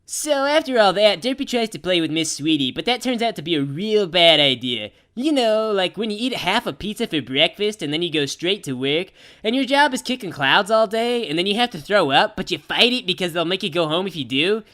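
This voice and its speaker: A woman plays a brash young girl pony reading out a cartoon storybook. The voice is bright and forceful, swinging widely in pitch. The telling is childishly simple, with irreverent, slangy asides. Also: very animated, a little fast, hardly any pauses. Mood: animated, irreverent. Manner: childishly simple phrasing mixed with casual, sardonic slang.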